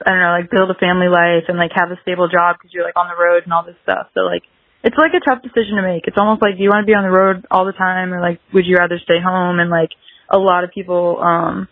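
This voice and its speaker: A woman's voice: on the low side, flat delivery, quick pace, no echo. Young American woman chatting, dry lo-fi sound. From South Carolina, United States. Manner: hedging, very frequent "like" filler. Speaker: podcast guest, musician. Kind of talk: casual interview chat.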